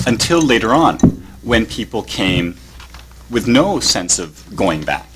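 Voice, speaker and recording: Low-voiced Canadian man giving a talk. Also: fairly bright tone, low-quality recording. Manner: measured academic, with pauses. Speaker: panelist. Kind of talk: conference talk. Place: conference hall.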